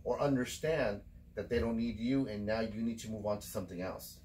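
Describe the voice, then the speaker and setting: Podcast host: man in his forties, lowish voice, blunt, swears freely, lectures. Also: brisk, darkish tone, a little hiss or hum. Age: 40s. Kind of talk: casual chat.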